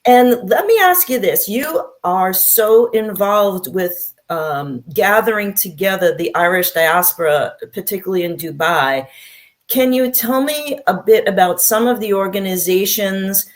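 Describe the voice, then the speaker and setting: A woman's voice: mid-range voice, expressive, measured pace. Upbeat woman talk show host. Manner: upbeat, encouraging interviewer. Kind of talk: interview hosting.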